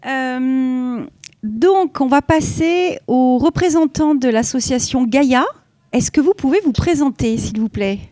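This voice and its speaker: A woman's voice: sits high, a little slow, mellow. A woman moderating a conference, giving brief, polite introductions.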